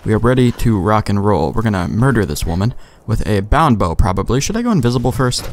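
Male let's play host, lower register, loose joking commentary, casual profanity. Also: sing-song, fast, background noise. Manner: sweary, joking, talks back to game characters.

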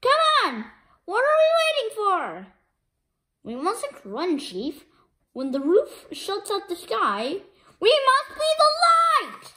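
Brave storybook hero, played by a woman: urgent, rousing, high voice with big swoops. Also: wildly swooping pitch, takes it slowly, sharp tone, heavily acted.

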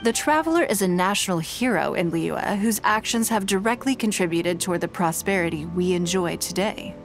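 A woman voicing a poised, wealthy businesswoman in a video game, speaking in a measured, composed and authoritative way. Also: on the low side, expressive, faint music behind.

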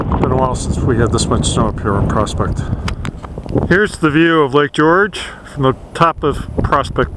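Man narrating a ski trip in the wind. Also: medium pitch. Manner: relaxed, chatty, admiring the scenery. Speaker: cross-country skier filming his outing. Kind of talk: casual outdoor vlog narration. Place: snowy forest and mountaintop outdoors. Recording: wind noise on camera mic. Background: wind and flowing water.

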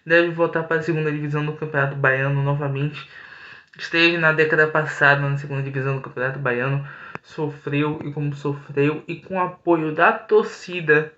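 A young man talks earnestly through his analysis of a match, often pausing and restarting his sentences. His voice is bright and a little high, recorded on a webcam in a small room with a noticeable echo. The audio sounds slightly dull. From Brazil. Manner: earnest, frequent hesitations and restarts. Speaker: football commentator. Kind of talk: solo football analysis to camera.

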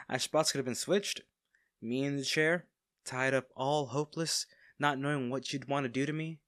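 A smug villain man, acted by a man in an intimate audio drama. He has a mid-pitched voice and lingers over coy, teasing pauses, hiding his fondness. The sound is dry and close. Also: fairly even intonation, fairly bright tone, no echo, natural voice. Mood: coy, indulgent. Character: smug, teasing villain man, secretly fond of captive hero. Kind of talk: intimate scripted audio roleplay.

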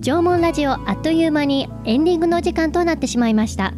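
A woman with a high-pitched voice hosts a radio programme. She moves between announcements and chat, with music clearly playing behind her. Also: expressive, fast talker. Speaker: radio host. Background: background music.

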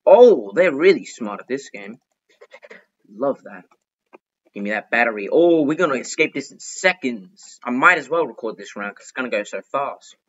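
A man's voice: medium pitch, expressive, normal speed, a bit bright, husky, lo-fi sound. A young man giving casual, chatty commentary while playing an online game, fretting and pleading aloud as he plays. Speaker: gaming YouTuber.

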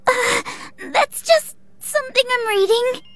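A woman's voice: very high voice, unhurried, fairly bright tone. An actress playing a timid, apologetic young schoolgirl in a visual novel game, speaking hesitantly and nervously. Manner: hesitant, stammering.

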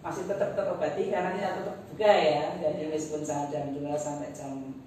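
A woman on the library staff, chatting casually and a bit quickly as she interviews a guest. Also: sits low, fairly even intonation, dark tone, far from the mic. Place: seated in a library lobby, indoors. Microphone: far camera. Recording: echoey room with room noise.

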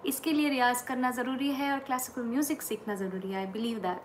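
Indian woman singer, 30s, high-ish voice, earnest advice into a webcam, faint hiss. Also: brisk. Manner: thoughtful, earnest, gestures while talking. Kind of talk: casual talk mixing Hindi and English. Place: small indoor room against a plain wall.

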